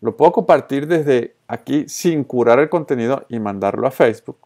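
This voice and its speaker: A man's voice: mid-range voice, animated, moderate pace, darkish tone. A man from Colombia and Venezuela, with an accent from both, narrating a casual screencast tutorial in an informal way, mixing in English terms. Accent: Colombian-Venezuelan Spanish. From born in Colombia, raised in Venezuela. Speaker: social media tutorial presenter.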